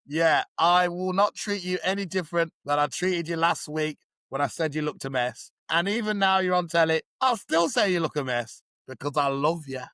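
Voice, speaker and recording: A man in his thirties from Birmingham with a husky, smoky voice, chatting as a podcast guest in a camp, teasing way. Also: fairly high pitch, lively intonation, moderate pace, hardly any pauses, bright, forceful tone, dry recording. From Birmingham, England. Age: 30s. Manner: camp, playful, teasing, says "darling". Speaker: guest, drag performer. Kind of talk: casual podcast chat.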